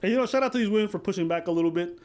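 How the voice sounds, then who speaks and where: A man's voice: sits high, darkish tone. A man hosting a podcast who argues by asking leading questions. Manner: fast, argumentative, leading questions. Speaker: podcast host. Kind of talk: podcast discussion.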